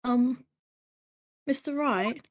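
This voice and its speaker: A woman's voice: high voice, extremely slow, slight background noise. A woman voicing a hapless, earnest young waitress in a live fan dub of a video game, chatty, hesitant and polite. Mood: plaintive.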